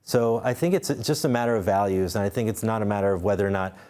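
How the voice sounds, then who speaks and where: A man with a fairly low voice, talking as a studio interview guest. He often repeats words while he gathers his thoughts, then drives his points home with emphasis. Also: quick pace, a little mellow. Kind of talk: unscripted interview talk.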